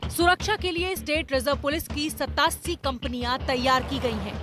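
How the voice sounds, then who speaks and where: Female news voice-over, high pitch, scripted delivery, prominent music bed underneath. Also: normal speed. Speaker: news reporter.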